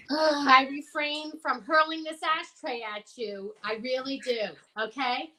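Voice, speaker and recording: A woman playing a frazzled, sharp-tongued department store employee in an amateur old-time radio drama, emphatic, slangy and exasperated. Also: high-pitched, fairly even intonation, slow, heavy background noise.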